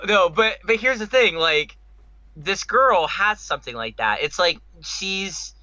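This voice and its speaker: Bright-voiced man, very animated delivery. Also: high-pitched, unhurried, no echo, dull audio. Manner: enthusiastic, emphatic. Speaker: podcast co-host. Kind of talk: casual chat.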